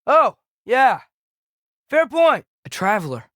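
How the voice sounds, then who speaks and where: A young male actor playing an earnest, impulsive teenage boy archer in a video game, expressive and youthful. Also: extremely high pitch, takes it slowly, no echo, studio quality, full character voice. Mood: curious, eager. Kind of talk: video game character dialogue.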